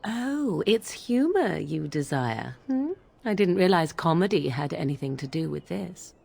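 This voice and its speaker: A sly, aloof woman from a fantasy game. Her low-register voice is very husky and full of creak. She speaks in archly formal, teasing, sardonic phrases. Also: sing-song, measured pace, darkish tone, heavily acted. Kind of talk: video game character acting.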